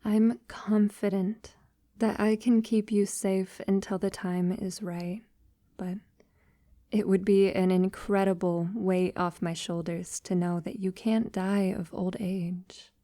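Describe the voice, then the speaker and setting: A tender, long-lived female vampire acted by a woman speaks intimately to a lover in an audio roleplay. The voice is dark and soft, very slow, with hesitant pauses and breathy near-whispers. The sound is dry and close. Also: mid-range voice, little pitch movement, no room sound, natural voice. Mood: earnest, gentle.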